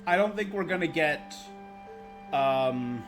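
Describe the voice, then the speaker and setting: A man's voice: fairly high pitch, animated, takes it slowly. A man streaming a game, chatting casually and thinking aloud in a rambling, opinionated way. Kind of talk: casual livestream gaming chat. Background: game music.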